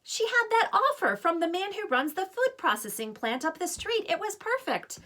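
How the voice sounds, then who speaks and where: Woman as practical, insistent mom, bright and lively. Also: very high-pitched, moderate pace, voice pushed up, exaggerated voice. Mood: concerned. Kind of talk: children's novel read-aloud.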